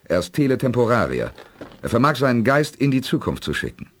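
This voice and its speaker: Commanding, animated space leader. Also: male voice, mid-range voice, fairly quick. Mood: measured, resolute. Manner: crisp, authoritative orders. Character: commanding male space leader, resolute and urgent. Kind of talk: 1980s German radio play acting.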